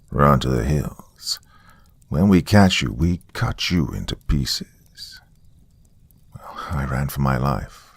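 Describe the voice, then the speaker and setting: A man's voice: low voice, no echo. A man reading a scripted first-person story as a dramatic narrator. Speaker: narrator of horror stories.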